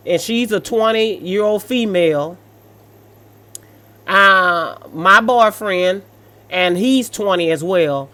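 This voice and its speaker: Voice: medium pitch, pushed and bright. An American speaker with a sassy, blunt, colloquial manner, reading stories aloud and reacting with off-the-cuff commentary.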